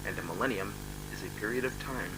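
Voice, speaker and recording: A man playing a grave, formal emergency broadcast announcer, reading solemnly and deliberately from an analog horror script. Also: fairly low pitch, very noisy, natural voice. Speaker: emergency alert narrator.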